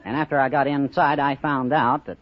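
A man, a quiz show contestant, telling anecdotes and giving answers in a halting, hesitant way. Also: medium pitch, fairly even intonation. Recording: old muffled radio recording, faint noise.

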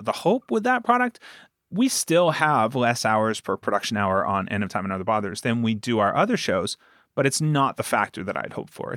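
A man's voice: lowish voice, fairly bright tone, no room sound, clean studio sound. A man speaking casually as a producer being interviewed, talkative and emphatic, often repeating a phrase to press a point. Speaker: podcast producer and guest.

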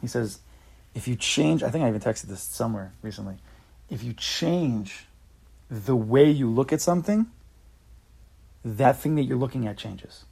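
A man leading a casual religious class among friends, animated and joking, often repeating his words. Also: mid-range voice, normal speed, creaky, pauses often, husky. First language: not Hebrew. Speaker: class leader. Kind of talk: casual Torah class chat.

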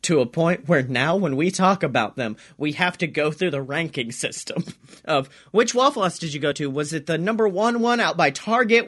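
Dude, pretty high pitched and sharp, animated podcast storyteller, cusses a bit. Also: male voice. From Alabama, US. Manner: animated anecdote telling, casual swearing. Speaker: podcast host. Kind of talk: casual comedic podcast chat.

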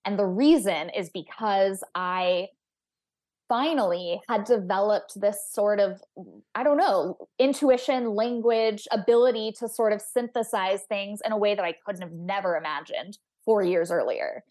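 A young woman from Texas talks openly as a podcast guest, outgoing and eager to chat. She is reflective and candid, and her voice sits at an ordinary middle pitch for a woman. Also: unhurried, darkish tone. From United States (Texas). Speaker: guest astrophysicist. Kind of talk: podcast interview conversation.

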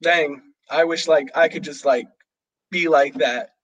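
A young man talking casually with a fellow student, his voice sitting slightly high. He rambles and restarts often, packing in fillers as he works through his thoughts. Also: fairly even intonation, measured pace, a bit bright, highs cut off. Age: young adult. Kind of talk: casual chat.

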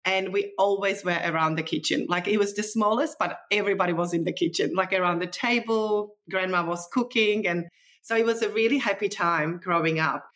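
A woman's voice: medium pitch, brisk, fairly bright tone. A woman from the former Czechoslovakia, a nutritionist guest, telling stories in a chatty, enthusiastic way with non-native phrasing. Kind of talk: casual conversational storytelling.

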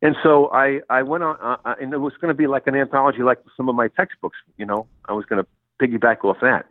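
A man's voice: mid-range voice, fairly even intonation, fast, dry recording. A man whose first language was Sicilian, a college English professor telling his story in an interview, with a rambling manner and many restarts. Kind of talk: relaxed interview conversation. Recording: tinny phone-like line, dry.

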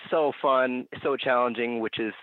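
A man's voice: mid-range voice, steady tone, normal speed, dry recording. A young man from Phoenix, a guest on a podcast, telling his story in an eager, upbeat way. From Phoenix, Arizona. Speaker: guest, urban farmer. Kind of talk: casual interview answers. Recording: tinny phone-line sound.

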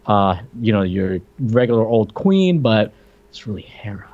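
A man's voice: on the low side, sing-song, moderate pace, a little mellow, muffled recording. A man co-hosting a podcast, casually commenting on a movie and jumping in with clarifications, often repeating his opening words. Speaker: podcast co-host.

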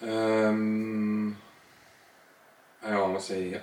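A man, co-hosting a casual podcast and dropping brief, deadpan quips. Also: fairly low pitch, drawn-out words. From Northern Ireland. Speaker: podcast co-host. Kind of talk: casual chat. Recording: echoey room, mic at a distance, faint noise.

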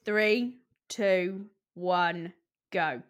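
A woman's voice: lowish voice, extremely slow. A woman hosting a podcast, introducing her guest in an upbeat, encouraging way. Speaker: podcast host. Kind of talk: casual interview chat.

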